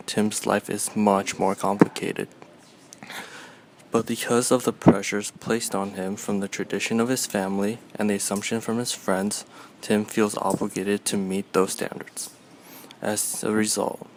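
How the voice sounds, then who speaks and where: A man reading a scripted documentary narration in a measured, explanatory way. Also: on the low side, poor audio.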